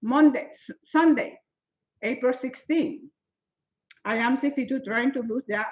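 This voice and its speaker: Older woman, 70s, fitness motivator on a live stream, fairly high voice, dull lo-fi sound. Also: a little slow. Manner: emphatic, repetitive, non-native phrasing. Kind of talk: live-stream chat answering viewers.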